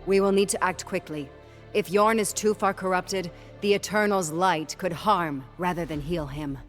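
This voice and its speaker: A woman's voice: fairly low pitch, moderate pace, soft background music, lightly acted. An actress playing an earnest, devout woman soldier in a fantasy game, speaking formally and with urgency. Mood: grave warning. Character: earnest, devout female soldier leading a unit. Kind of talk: scripted fantasy game cutscene acting.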